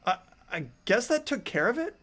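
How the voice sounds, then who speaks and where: A man's voice: very high voice, very animated, normal speed, a bit bright, voice pushed up, exaggerated voice. A man playing an anxious, bewildered schoolboy in a fan-voiced visual novel, hesitant and earnest.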